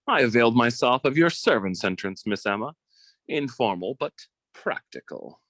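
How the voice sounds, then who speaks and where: Woman doing a very low, suave crook. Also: lively intonation, moderate pace, dry recording, exaggerated voice. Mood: smug. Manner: mock-polite, commanding. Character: smug, suave male thief and schemer. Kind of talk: old time radio drama acting.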